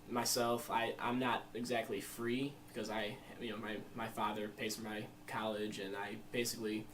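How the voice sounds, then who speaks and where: A 21-year-old American man giving informal, reflective interview answers in a rambling, hesitant way with many you-knows. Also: fairly low pitch. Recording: distant mic, faint room noise.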